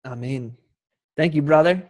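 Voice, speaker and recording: A man's voice: fairly high pitch, measured pace, a little mellow. A man moderating a panel discussion and passing questions to the panelists.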